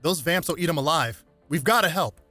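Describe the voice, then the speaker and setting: Man playing a wary, bright-voiced young superhero. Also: fairly high pitch, expressive, fairly quick, dry recording, faint music behind, pitched above the performer's own voice. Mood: urgent concern. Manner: casual, streetwise, wary. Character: cautious, protective young male superhero.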